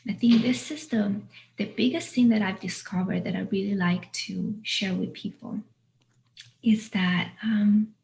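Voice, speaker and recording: A woman hosting an online panel, speaking off the cuff in a halting, reflective way. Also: mid-range voice, steady tone, creaky, a little mellow, poor audio. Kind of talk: unscripted event introduction. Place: online video call.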